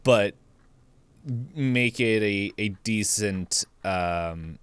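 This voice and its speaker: A man's voice: lowish voice, very slow, clean tone, no room sound. A man co-hosting a podcast, discussing films casually and correcting himself as he goes. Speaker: podcast co-host.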